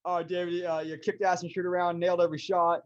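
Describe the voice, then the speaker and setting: Fast-talking American man, slightly muffled. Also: high voice, flat delivery, no echo. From San Diego, United States. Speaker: podcast host, interviewer. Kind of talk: casual interview conversation.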